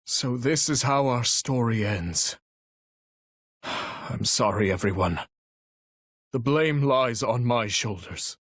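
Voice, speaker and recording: A man's voice: mid-range voice, a bit bright, no echo, clean studio sound. A man voicing a noble, earnest young knight-commander in a video game, speaking formally and solemnly with resolve. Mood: defeated, sorrowful. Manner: formal, measured, earnest delivery. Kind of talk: dramatic video game voice acting.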